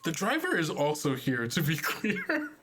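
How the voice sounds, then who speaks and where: A man's voice: a little high, wildly swooping pitch, a little slow, a bit bright, slight background noise. A man running a tabletop game, talking casually with a hesitant, accommodating manner. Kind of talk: casual game narration and discussion.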